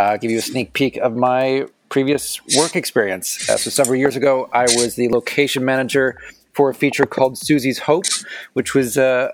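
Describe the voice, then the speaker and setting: A man's voice: medium pitch, creaky. Matter-of-fact man introducing himself. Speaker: writer.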